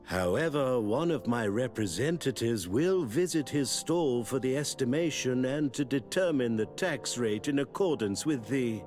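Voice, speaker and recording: Male actor as self-important official, obsequious, deliberate, mid-pitched, lively melodic delivery, game dialogue. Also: faint music behind, natural voice. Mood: obliging. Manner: pompous, bureaucratic, deliberate. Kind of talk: video game dialogue acting.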